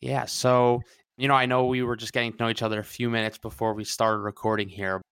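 A man's voice: medium pitch, little pitch movement, fast talker, a bit bright, clear, resonant tone, no echo. Upbeat male podcast host, dry sound. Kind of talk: podcast intro and interview.

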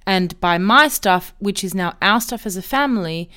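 A woman in her thirties hosts her own podcast alone, musing out loud in a candid, chatty way. Her voice is smooth and resonant, sitting at an ordinary middle pitch. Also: lively intonation, moderate pace. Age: 30s. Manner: candid, chatty, self-reflective. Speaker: podcast host. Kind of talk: solo podcast monologue.

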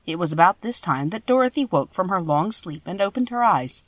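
A woman's voice: on the low side, lively intonation, a little fast, dry recording. A woman reading a children's story as scripted audiobook narration.

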